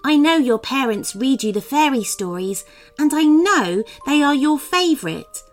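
A woman's voice: sits high, expressive, measured pace, soft background music, pitched above the performer's own voice. A woman voicing a tiny, urgent and pleading fairy in a children's story. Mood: earnest.

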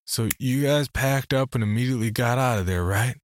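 A man voicing an incredulous, excitable younger man in story dialogue, exclaiming and speaking casually. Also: mid-range voice, fairly quick, a little hiss or hum, pitched above the performer's own voice, natural voice. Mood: exasperated. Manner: exclaiming, sputtering, casual swearing.

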